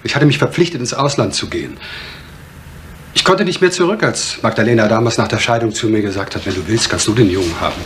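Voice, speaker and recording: An actor playing a reserved, bitter divorced father in a vintage German crime drama, speaking in a measured way with deliberate pauses. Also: on the low side, lively intonation, fairly bright tone. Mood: regretful. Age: middle-aged. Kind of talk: 1970s German television crime drama acting. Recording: faintly noisy vintage drama soundtrack.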